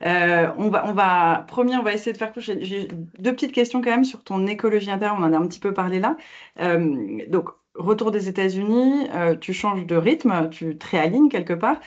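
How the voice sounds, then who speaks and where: Very fast-talking woman interviewer, eager. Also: mid-range voice, lo-fi sound. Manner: eager, interjects often, rephrases the guest's words. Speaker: interviewer, event host. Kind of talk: informal conversational interview.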